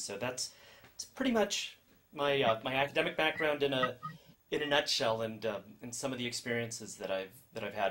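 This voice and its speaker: A man's voice: mid-range voice, fairly bright tone. A man from San Francisco giving a talk to a class over a video call, hesitant, with many ums and restarts. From San Francisco, USA. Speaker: guest speaker.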